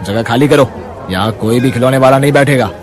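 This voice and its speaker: A man's voice: mid-range voice, brisk, crowd noise, lightly acted. A man voicing a rude, bullying shopkeeper in a cartoon who talks harshly, with faint music behind. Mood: bossy. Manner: harsh, shouting.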